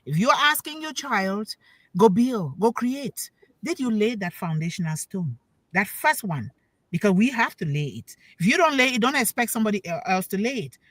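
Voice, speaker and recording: A woman's voice: mid-range voice, big pitch swings, moderate pace, fairly bright tone, no room sound, poor audio. A woman speaking on a talk show panel as a parent, giving an emphatic, preachy talk that repeats key words for stress and puts questions to her listeners. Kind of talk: motivational talk.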